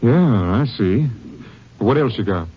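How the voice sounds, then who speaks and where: Muffled vintage radio sound. A husky American police captain with lively intonation, businesslike and skeptical, in the manner of 1950s western radio drama. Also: male voice, mid-range voice, takes it slowly. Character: skeptical male Texas Rangers captain, businesslike superior.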